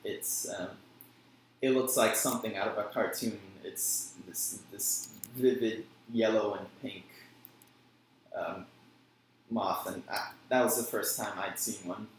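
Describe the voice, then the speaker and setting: A man speaks haltingly and fills his pauses with ums as he hunts for words. His voice comes across very echoey, with faint noise behind it. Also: on the low side, fairly even intonation. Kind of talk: casual chat.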